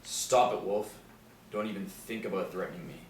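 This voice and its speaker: A man playing an angry, low-voiced husband. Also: normal speed, natural voice. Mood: angry, nervous. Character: angry, nervous middle-aged husband with a dark past. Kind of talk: script table read. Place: small room indoors. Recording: roomy, distant mic, faint noise.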